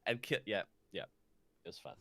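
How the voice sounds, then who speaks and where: A man's voice: on the low side, animated, normal speed, sharp tone, dry recording. A man co-hosting a podcast, chatting casually about games with a friend. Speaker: podcast co-host.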